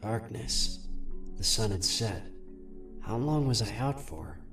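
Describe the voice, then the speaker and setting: A low-pitched dog-man fighter, acted by a man for a fantasy audio story. He is weary and earnest, with an impatient streak. Music plays clearly behind him. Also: lively intonation, slow pace, fairly bright tone, full character voice. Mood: groggy.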